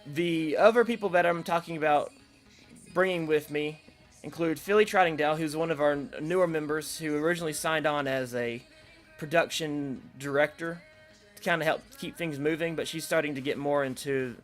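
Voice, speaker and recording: A man's voice: a little high, moderate pace. A man making casual, unscripted announcements as host, rambling and hesitant, often rephrasing himself. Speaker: host of an online creative group's announcement video. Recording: music playing behind the voice. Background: electronic dance remix music.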